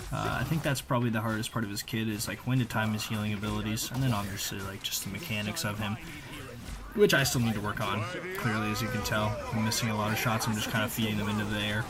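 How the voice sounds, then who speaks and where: A man giving casual, rambling voice-over commentary on his own video game play, using informal gamer slang. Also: medium pitch.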